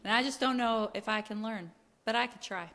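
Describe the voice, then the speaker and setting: A woman's voice: on the high side, fairly quick, bright, forceful tone, in a large hall. A woman co-hosting a podcast, chatting casually and informally about a book, peppering her sentences with "like". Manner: chatty and informal, heavy use of "like". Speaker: podcast co-host. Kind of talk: casual book discussion chat.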